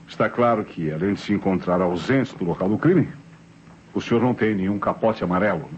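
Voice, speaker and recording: A man's voice: sits low, a little mellow, lightly acted. A man with a Brazilian accent acting a shrewd police inspector in an old radio crime drama, formal and courteous as he probes. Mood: smoothly insinuating. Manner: formal, probing, deliberately courteous. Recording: dull, noisy old radio drama recording.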